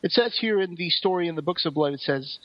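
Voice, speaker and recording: Higher-voiced man in an echoey, muffled recording. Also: fast talker. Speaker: podcast co-host. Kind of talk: casual discussion. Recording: roomy echo, dull muffled sound.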